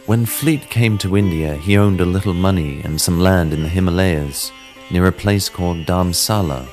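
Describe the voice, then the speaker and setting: Low-voiced guy reading a horror tale all dramatic and measured, sitar playing behind him. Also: darkish tone. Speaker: narrator. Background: sitar music.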